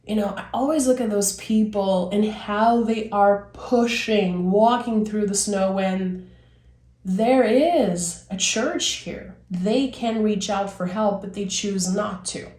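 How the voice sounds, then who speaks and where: A woman reading tarot cards with a raspy, slightly hoarse voice as she recovers from being sick, speaking in a soothing, reflective way. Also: mid-range voice, darkish tone, roomy sound. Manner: slow, soothing, reflective delivery. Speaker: tarot reader.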